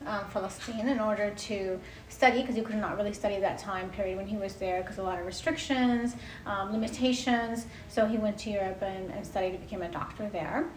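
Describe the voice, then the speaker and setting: A woman's voice: mid-range voice, fairly quick, few pauses, reverberant, a little hiss or hum, low-quality recording. A woman born in Vienna explaining her family's moves in an earnest way. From Vienna, Austria. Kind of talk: documentary interview.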